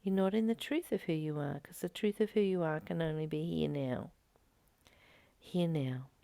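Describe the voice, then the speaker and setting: A woman reading a spiritual text slowly and meditatively, adding her own comments and dropping into soft whispers to stress a point. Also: low-pitched, vocal fry, dry recording.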